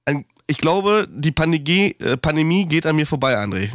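Chatty German heating technician with a cold. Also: male voice, on the high side, very animated, moderate pace, no echo, studio quality. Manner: chatty, swearing, rambling with restarts. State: has a cold. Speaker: podcast co-host, heating technician. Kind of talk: casual podcast chat.

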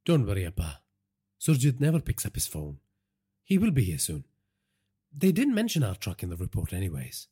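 A man voicing the dutiful, calm adult son of a crime boss in an audiobook, measured and deferential. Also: fairly low pitch, husky, full character voice. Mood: reassuring. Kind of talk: audiobook character dialogue.

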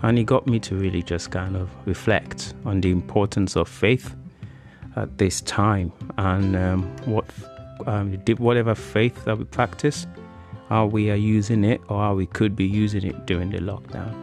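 A man's voice: on the low side, fairly even intonation, moderate pace, gravelly, darkish tone, soft background music. A man hosting a podcast in an informal, chatty, conversational way. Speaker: podcast host.

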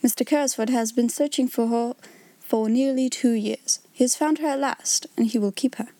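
A cool, steady lawyer, as voiced by a woman reader, speaking in quiet, level tones. The voice is mellow and close, with the measured calm of a man who knows his subject. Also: on the high side, no room sound, natural voice. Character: cool, level-toned middle-aged male lawyer.

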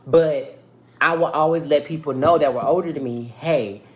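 A man's voice: fairly high pitch, normal speed. A young American man with a naturally feminine-sounding voice, chatting candidly and in slang as a podcast host. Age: young adult. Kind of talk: casual podcast chat. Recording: faint music behind the voice.

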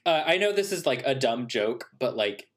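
A man's voice: mid-range voice, very animated, normal speed, a bit bright. A man hosting a podcast in an informal, joking way, peppering his talk with "like". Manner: informal, joking, filler-heavy "like". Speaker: podcast host. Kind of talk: casual chat, introducing news stories.

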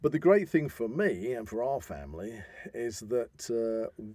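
A man's voice: medium pitch, very animated, moderate pace, warm tone, slightly rough, no room sound. A man with an English accent hosting a casual podcast, talking in a discursive, explanatory way. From England. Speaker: podcast host.

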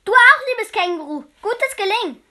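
A woman voicing a kind young male hare in a storybook reading, speaking in a gentle, reassuring way. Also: very high voice, lively intonation, normal speed, voice pushed up, full character voice.

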